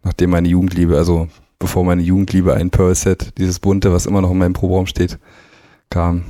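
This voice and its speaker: Young man, deep and warm, casual radio guest telling stories with easy laughter. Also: a little fast, no room sound, clean studio sound. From East Berlin, grew up in Brandenburg. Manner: relaxed, laughs often, anecdotal. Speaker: drummer, interview guest.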